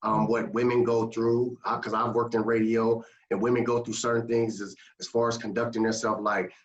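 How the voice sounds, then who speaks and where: A man co-hosting a casual interview, asking rambling, halting questions. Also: mid-range voice, flat delivery, lo-fi sound. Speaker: podcast co-host. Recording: echoey, distant from the mic.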